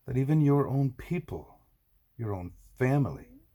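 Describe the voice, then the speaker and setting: A man voicing a confrontational, needling leader in an audiobook, pointed and deliberate, with a husky voice. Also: medium pitch, lively intonation, dark tone, pitched above the performer's own voice. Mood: accusing.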